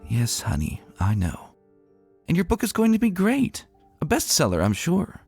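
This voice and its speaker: A man's voice: a little high, wildly swooping pitch, normal speed, gravelly, a little mellow, soft background music, voice pushed up, heavily acted. A man voicing a caring adult female pony, a soothing and affectionate wife in a dramatic story reading. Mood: gently reassuring.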